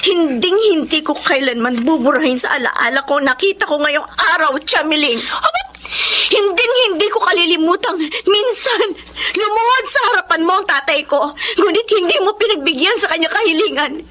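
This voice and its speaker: A woman's voice: very high voice, expressive, moderate pace, sharp tone, heavily acted. A woman playing a proud, defiant young daughter in a Tagalog radio drama, speaking emphatically and declaiming her lines. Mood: vengeful.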